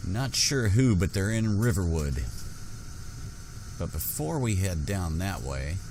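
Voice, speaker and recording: A man hosting a gaming roleplay playthrough, narrating in an unhurried way with pauses and musing hums. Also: low voice, sing-song, darkish tone, a little hiss or hum. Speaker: gaming channel host. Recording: music under the voice. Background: game music.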